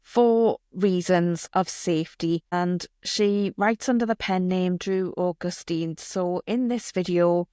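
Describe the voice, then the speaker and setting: Low-voiced woman podcast host. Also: dark tone, no echo, clean studio sound. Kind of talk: podcast interview.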